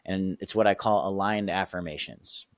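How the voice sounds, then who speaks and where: A man with a fairly low voice talks through his coaching method as a podcast guest. He is casual and emphatic, and keeps checking in with quick questions and easy laughs. The recording is dry and close, with no room sound. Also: no room sound. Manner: emphatic, checks in with "okay?" and "right?", laughs easily.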